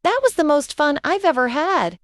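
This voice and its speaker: Woman as a tiny squeaky adventurous squirrel. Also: animated, normal speed, dry recording, clean studio sound. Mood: brisk, eager. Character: tiny adventurous male squirrel, plucky and knowing.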